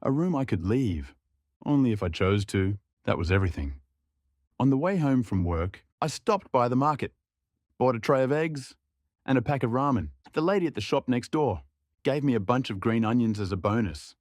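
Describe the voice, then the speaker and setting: A young man narrating a drama in the first person, in a quiet, reflective way. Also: medium pitch, lively intonation, normal speed, no echo, clean studio sound.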